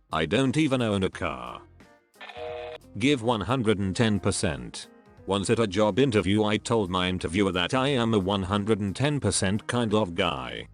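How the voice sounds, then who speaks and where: Low-voiced man reading posts aloud. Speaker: narrator reading online posts. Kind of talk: scripted narration.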